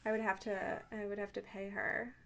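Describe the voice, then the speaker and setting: A woman's voice: mid-range voice, level tone, normal speed, a bit bright, a little hiss or hum. A woman chatting casually and intimately to the listener as an ASMR host, with playful, teasing asides.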